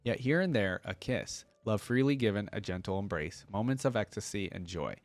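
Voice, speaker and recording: A man with a fairly low voice hosts a reaction video. His delivery is informal and conversational, suited to reading lore aloud and adding casual asides. The sound is dry, with very little room in it. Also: lively intonation, a little fast, no echo.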